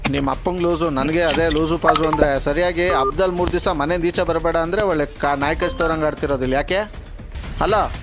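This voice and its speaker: A man's voice: a little high, fairly even intonation, brisk. Kannada guy doing prank calls, karnataka accent, teasing n argumentative, everyday slangy talk, music going behind him. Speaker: prank call host. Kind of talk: prank phone call banter.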